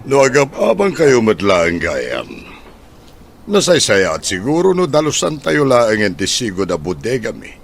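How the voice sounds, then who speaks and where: A man's voice: medium pitch, huge pitch swings, a little slow, gravelly, a little hiss or hum, exaggerated voice. A man playing a kindly, practical husband and householder in a radio drama, sympathetic. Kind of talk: Philippine radio drama acting.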